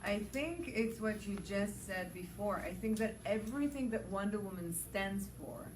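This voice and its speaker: A woman's voice: medium pitch, expressive, normal speed. Enthusiastic woman actor in an echoey room. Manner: enthusiastic, with restarts and lists. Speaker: lead actor of the film. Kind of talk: warm interview answers. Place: large public building. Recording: echoey and distant, with noise.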